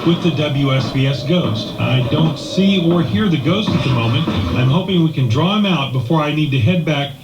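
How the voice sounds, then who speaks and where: A man's voice: on the high side, animated, moderate pace, hardly any pauses, noisy background. A man working as a radio DJ, narrating a scripted live report to listeners. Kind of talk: scripted radio broadcast narration with acted skit. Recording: echoey room, music behind.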